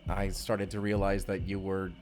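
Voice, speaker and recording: A man's voice: sits low, monotone, normal speed, a little mellow, natural voice. A man playing an earnest, love-struck young husband stranded back in time, speaking tenderly and conversationally in an intimate audio roleplay. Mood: fond, nostalgic. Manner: conversational, tender, chuckling storyteller.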